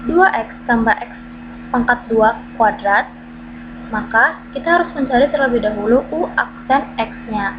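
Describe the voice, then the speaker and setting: A woman with a slightly high voice reads through formulas and worked examples like a tutor. She goes step by step in a steady, methodical way. The recording sounds dull and lo-fi, with a clear hum running underneath. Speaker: math tutor. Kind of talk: reading out a math lesson.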